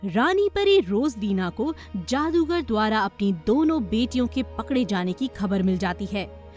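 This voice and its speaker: A woman reading scripted narration for a children's animated story. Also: fairly high pitch, measured pace, faint music behind.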